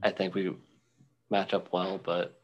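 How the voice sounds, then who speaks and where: A young man co-hosting a podcast, chatting casually about football in a rambling, halting way with many ums and restarts. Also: low voice, monotone, muffled recording, a little hiss or hum. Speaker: podcast co-host. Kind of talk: casual sports chat.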